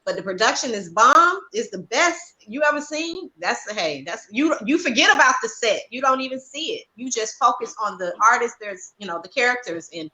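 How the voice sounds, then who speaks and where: Female panel guest, sharp and highish tone, emphatic advice-giver who hammers her points. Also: expressive, normal speed, hardly any pauses, poor audio. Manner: emphatic, repeats key phrases. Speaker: stage play writer-director, panel guest. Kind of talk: casual panel chat, giving advice.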